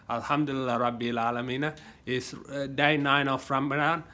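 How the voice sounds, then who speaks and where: Australian male vlogger, phone mic, tense bright tone, loose rambling delivery. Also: medium pitch, little pitch movement, unhurried. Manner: rambling, folksy advice with many fillers and restarts. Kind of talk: casual rambling monologue to a phone camera. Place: home gym. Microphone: phone camera.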